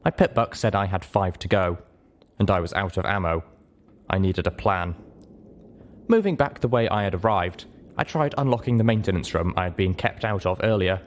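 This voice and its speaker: A man's voice: deep voice, lively intonation, moderate pace. A man reading a first-person story aloud as a dramatic audiobook narration. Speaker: audiobook reader.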